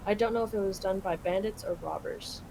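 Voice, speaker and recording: A young woman reads and translates a game aloud in a casual, informal way. Her voice sits at a middling pitch. There is clear background noise on the recording. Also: fairly quick. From Canada. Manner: informal, swearing, paraphrasing. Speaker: live translator on a game play-through. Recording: clearly noisy home recording.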